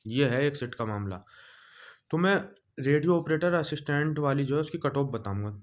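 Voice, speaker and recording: A man's voice: on the high side, expressive, moderate pace. A man speaking as an exam coach, informally explaining to students in a direct, instructive way and repeating figures for emphasis.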